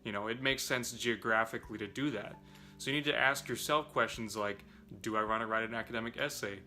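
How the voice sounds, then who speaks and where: Bright-voiced young man giving language tips. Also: mid-range voice, fairly quick, soft background music. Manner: earnest, explanatory, addresses viewers as "you guys". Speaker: language learning YouTuber. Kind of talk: direct-to-camera tips video.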